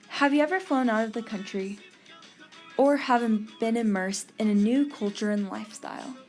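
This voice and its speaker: A young woman from Texas hosting a podcast, giving an earnest scripted narration. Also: medium pitch. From Texas, USA. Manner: earnest, read-aloud delivery. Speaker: podcast host.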